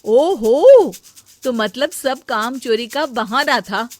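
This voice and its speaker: A woman voicing a dutiful, hardworking elder daughter-in-law in a cartoon story, earnest and quick to scold. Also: fairly high pitch, lively intonation, darkish tone, dry recording.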